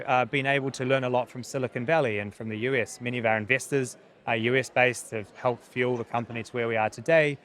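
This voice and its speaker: New Zealand man, thirties, medium-pitched voice, earnest and halting interviewee explaining his work. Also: a bit bright. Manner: earnest, hesitant with repeated words. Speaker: technology company founder, interview guest. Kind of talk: conversational interview answers.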